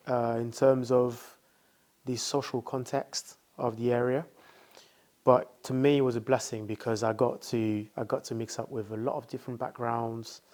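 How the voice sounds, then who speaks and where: A man's voice: medium pitch, a little mellow. Thoughtful man talking with many fillers. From France. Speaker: founder, guest. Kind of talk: casual interview talk.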